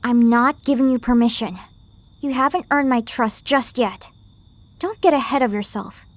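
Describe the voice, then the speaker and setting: A woman's voice: fairly high pitch, moderate pace, dry recording, lightly acted. A woman voicing an anxious, stubborn young girl in an emotional, earnest manga dub performance. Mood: wary, blunt. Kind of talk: anime-style manga dub acting.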